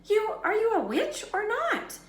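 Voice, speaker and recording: A woman voicing a blunt, excitable schoolboy with a snappy, sarcastic manner in a storybook reading. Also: extremely high pitch, measured pace, pitched above the performer's own voice, full character voice. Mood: snarling, exasperated. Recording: roomy echo, background noise.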